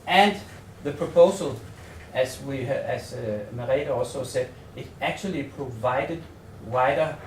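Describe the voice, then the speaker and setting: A man with a Danish accent gives a research presentation in an echoey room, picked up from some distance with faint noise behind him. His pitch is average for a man. He talks tentatively and haltingly, often repeating words as he works out the phrasing. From Denmark. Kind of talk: semi-improvised conference presentation. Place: seminar room in a hotel.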